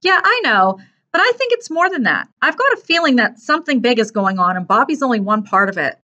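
A woman's voice: a little high, sing-song, brisk, bright, forceful tone, lo-fi sound, pitched above the performer's own voice. A woman voicing a nervous, earnest, awkward teenage boy in an audiobook, anxious and apt to stammer when upset. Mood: earnest, wondering.

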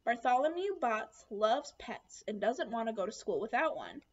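A woman's voice: fairly high pitch, sing-song, normal speed. A young adult woman reading a children's picture book aloud expressively, with questions and asides to her young listeners. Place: small room at home, indoors. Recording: dull lo-fi webcam sound.

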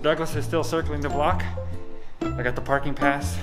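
A man's voice: fairly high pitch, steady tone, moderate pace. Male American travel vlogger, thirties, casual, camera at arm's length, prominent music. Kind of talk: casual vlog chat to camera. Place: car, city streets, hotel room. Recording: music and street or car noise behind.

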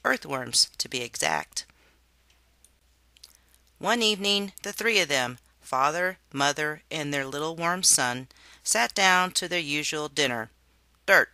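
A storybook reader giving an expressive read-aloud narration for children. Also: mid-range voice, a little slow, long pauses, no room sound.

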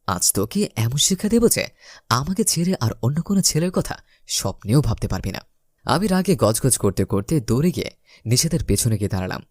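Animated young man telling a romantic story. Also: on the high side, dry recording, clean studio sound. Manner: theatrical, acts out his lines. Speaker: story narrator. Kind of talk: dramatised first-person romantic comedy narration.

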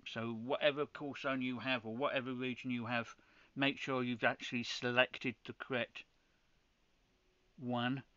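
A man's voice: mid-range voice, flat delivery, a little slow, sharp tone, smooth, dry recording, muffled recording. A man from the UK hosting a ham radio channel, walking viewers through a setup step by step in a practical tutorial, with frequent restarts. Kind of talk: screen-recorded tutorial.

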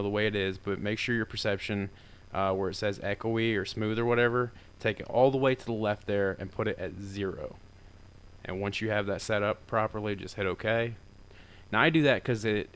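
A man with a deeper voice talking through a software tutorial in a loose, rambling way, full of fillers. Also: smooth. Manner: rambling and informal, with many fillers. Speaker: tutorial maker. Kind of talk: casual screen-recorded software tutorial.

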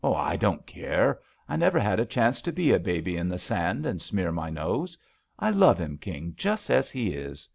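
A man's voice: sits low, big pitch swings, moderate pace, vocal fry, darkish tone, exaggerated voice. A man voicing a spirited, stubborn young woman in an audiobook love story, eager and direct. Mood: tender, eager. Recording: slightly dull, lo-fi audiobook recording.

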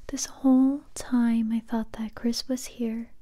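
Actress as young female survivor turned gentle, guarded interrogator. Breathy, even ASMR whisper, close to the mic. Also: a little high. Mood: wistful. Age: young adult. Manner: slow, hesitant, intimate close-up delivery. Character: young woman survivor, kind but wary interrogator. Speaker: ASMR roleplay performer. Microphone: close mic, whispering near the listener.

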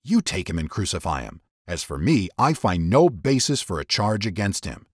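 A man voicing a troubled, weary but commanding Roman governor with deliberate, dramatic delivery in a scripture reading. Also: sits low, big pitch swings, slightly rough, no room sound, clean studio sound, exaggerated voice. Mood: weary, measured.

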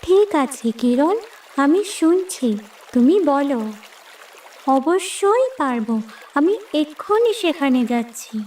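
A woman's voice: sits high, animated, takes it slowly, a little mellow, slight background noise. A woman voicing a tiny, clever water creature in a fairy-tale cartoon, with an earnest, childlike manner. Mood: eager, confident. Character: tiny clever water creature, eager, careful little helper. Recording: distant voice with music bed.

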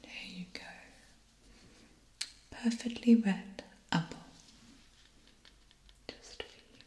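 Young woman doing a soft caring guardian angel, breathy whisper, really slow, asmr roleplay, close mic. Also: mid-range voice, fairly even intonation, reverberant, lightly acted. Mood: gentle, caring. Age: young adult. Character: gentle female guardian angel tending a wounded warrior. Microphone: close binaural microphone.

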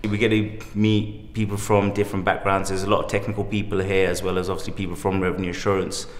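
Middle-aged South African male interviewee, very flat, quick delivery. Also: deep voice, slight background noise. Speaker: conference attendee being interviewed. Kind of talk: spontaneous interview answer. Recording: echoey hall.

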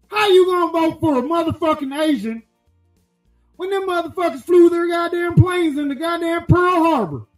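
A man playing a crude, loudmouthed rural American voter who rants profanely and rambles. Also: very high-pitched. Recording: echoey room.

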